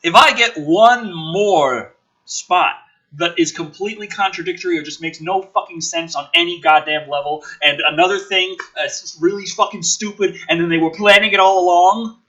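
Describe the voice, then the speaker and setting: A man's voice: high-pitched, moderate pace, sharp tone, dull audio. A man giving ranting commentary as the host of a script review, exasperated, sarcastic and full of swearing. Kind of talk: ranting commentary mixed with dramatic script reading.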